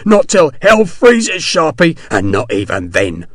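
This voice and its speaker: Male narrator voicing a squealing, shrill soldier, high, loud and strained; a bully's whine. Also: huge pitch swings, unhurried, no echo, clean studio sound, exaggerated voice. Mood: defiant. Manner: shrill, squealing, defiant then begging. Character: desperate brutish army sergeant, man.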